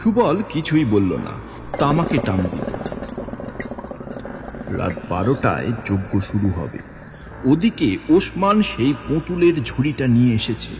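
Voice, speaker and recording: A man narrating a horror audio story in a slow, dramatic manner. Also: medium pitch, sing-song. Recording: long reverb with faint music.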